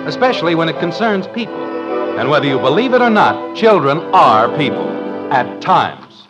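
A folksy narrator of an old radio comedy. His fairly high voice swings with wry, lively emphasis while music plays prominently behind him. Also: moderate pace, dull audio.

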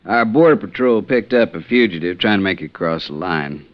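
A dull vintage radio recording carries a middle-aged Texas Ranger captain. His voice sits low and his talk is folksy and plain. He sounds like a harried frontier officer in an old western serial. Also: animated. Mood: friendly, coaxing. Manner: folksy, drawn-out, plain-spoken. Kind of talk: 1950s radio western acting.

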